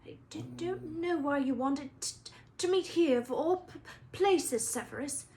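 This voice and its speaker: Storybook role: woman as frightened, timid male professor, high and faltering with a heavy stammer, noisy recording. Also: measured pace, a little mellow. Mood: nervous.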